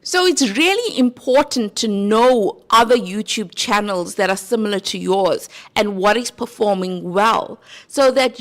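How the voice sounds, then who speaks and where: A woman with a South African accent talks straight to her viewers in an easy, conversational way. Her voice sits a little high, and she keeps checking in with a quick "right?". It sounds like earnest, practical creator advice. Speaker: YouTube creator. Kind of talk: casual talking-head advice.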